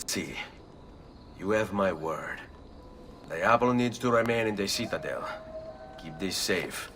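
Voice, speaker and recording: Deep-voiced brooding young Italian assassin. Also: male voice, expressive, a little slow, a bit bright, a little hiss or hum. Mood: earnest resolve. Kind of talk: dramatic video game cutscene acting. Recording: game soundtrack music behind the voice.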